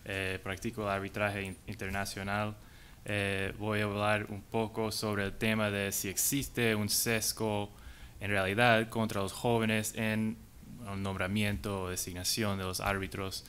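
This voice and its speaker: A man, an English speaker, giving a conference talk in halting, hesitant Spanish. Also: lowish voice, little pitch movement, fairly bright tone, a little hiss or hum. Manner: halting, hesitant delivery in non-native Spanish. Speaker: conference panelist.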